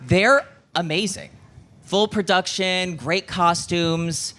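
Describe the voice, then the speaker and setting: A podcast host chatting casually at a live show, telling stories in an informal, animated way peppered with "like". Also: mid-range voice, slow. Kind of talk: casual live podcast chat about figure skating. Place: live show in front of an audience.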